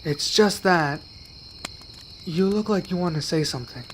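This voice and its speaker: Man voicing an earnest, higher-pitched young werewolf. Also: measured pace, slight background noise. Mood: calm, hesitant. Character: young male werewolf, earnest, gentle, protective of his pack. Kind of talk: ASMR roleplay acting.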